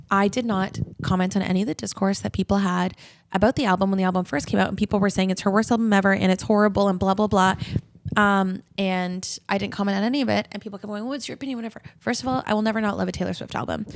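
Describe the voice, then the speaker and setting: A woman's voice: mid-range voice, fairly even intonation, fast, a little hiss or hum. A woman co-hosting a podcast, chatting casually in an emphatic, opinionated and slangy way. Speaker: podcast host.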